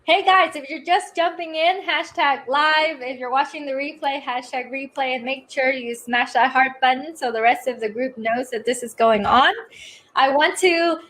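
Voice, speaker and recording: A woman with a high voice hosts a live stream, greeting viewers and introducing her guest. Her talk is upbeat and chatty, full of "um" and "uh" as she goes. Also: normal speed, fairly bright tone. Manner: upbeat, chatty, many fillers. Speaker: host of a live online show.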